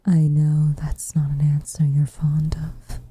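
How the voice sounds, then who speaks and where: Woman playing a low, whispering, reserved witch. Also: completely flat delivery, exaggerated voice. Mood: tender, shy. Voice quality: soft, breathy whisper. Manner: measured, formal, hesitant phrasing. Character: reserved tsundere witch, guarded but tenderly affectionate. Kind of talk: whispered ASMR roleplay.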